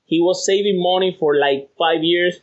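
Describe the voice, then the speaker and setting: A man's voice: high voice, moderate pace. Cheerful Cuban-accented man on a dull line. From Cuba. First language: Spanish. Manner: cheerful, laughs easily, rambling. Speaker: podcast guest. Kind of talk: casual phone interview.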